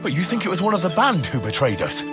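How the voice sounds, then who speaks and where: A man's voice: on the high side, wildly swooping pitch, fast talker, over music. An actor playing an eager, loyal young fighting man in a video game cutscene, with an earnest, questioning manner. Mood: concerned.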